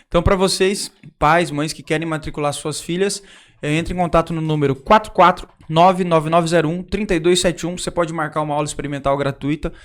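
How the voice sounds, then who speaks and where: A man's voice: fairly high pitch, steady tone, brisk, fairly bright tone. A Brazilian man co-hosting a podcast in a casual, chatty way, plugging sponsors and local projects. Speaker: podcast co-host.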